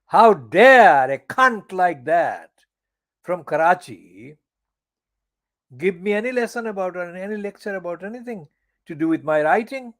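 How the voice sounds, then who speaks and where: An older man speaks in a slightly raised pitch that swings widely, full of angry contempt. He talks slowly and deliberately, leaning hard on single words. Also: lively intonation, a bit bright. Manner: angry, profane, emphatic; slow, deliberate phrasing with stressed words. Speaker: channel editor giving commentary. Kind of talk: personal political monologue.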